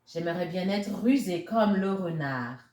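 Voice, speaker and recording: A woman voicing a small, wistful picture-book chameleon in a wishful, drawn-out way. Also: on the low side, expressive, dark tone. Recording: roomy, echoey sound.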